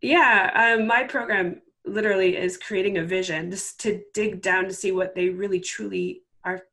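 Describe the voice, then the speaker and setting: A woman's voice: medium pitch, steady tone, moderate pace, pushed and bright. Woman explaining her coaching work. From Canada. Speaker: interview guest, life transformation coach. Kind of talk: conversational interview, explaining own work.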